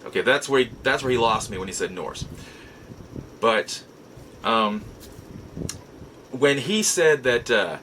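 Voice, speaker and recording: A man telling a personal story in a casual, halting way, as host of a nostalgia show. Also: mid-range voice, expressive, bright, forceful tone, a little hiss or hum, poor audio. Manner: halting, repeats and restarts words as he goes.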